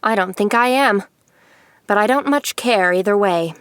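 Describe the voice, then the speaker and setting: A woman playing a defiant, cutting femme fatale in an old-style detective radio drama, crisp and imperious. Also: medium pitch, normal speed, heavy background noise, close to an everyday voice. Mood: cool, commanding.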